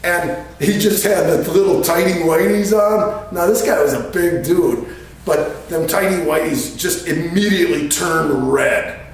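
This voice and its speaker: Middle-aged male storyteller, casual and earthy, slightly high voice, heard at a distance in a roomy garage. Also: measured pace, creaky. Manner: colloquial, crude humor, chuckles as he tells it. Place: garage workshop, indoors. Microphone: camera several feet away. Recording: echoey, distant camera mic with faint noise.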